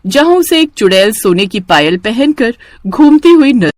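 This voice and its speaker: A woman's voice: a little high, sing-song, a little slow, no echo. A woman narrating a cartoon horror story in a scripted, dramatic storytelling style.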